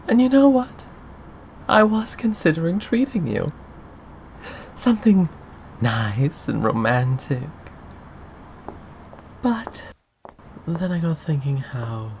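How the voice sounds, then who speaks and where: Male actor as smug yandere captor, condescending, drawling, widely swooping pitch, unhurried, ASMR roleplay. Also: a little mellow, a little hiss or hum, full character voice. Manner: theatrical, drawling, condescending, with genteel wording. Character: possessive, mocking male yandere captor, smugly affectionate. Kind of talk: scripted ASMR roleplay audio drama.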